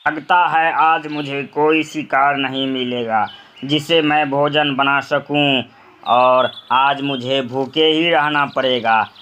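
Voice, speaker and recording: A man's voice: mid-range voice, steady tone, takes it slowly, pushed and bright, smooth, lo-fi sound, voice pushed up. A man voicing a big, dangerous, hungry eagle grumbling gloomily in a cartoon story. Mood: gloomy, hungry. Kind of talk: acted cartoon moral story.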